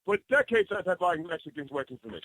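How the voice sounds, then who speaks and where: A man's voice: fairly high pitch, sing-song, fast, fairly bright tone, no echo, low-quality recording. A man playing a blustering, flustered campaign staffer on a prank call to a radio show, pompous and stumbling over names. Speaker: prank caller.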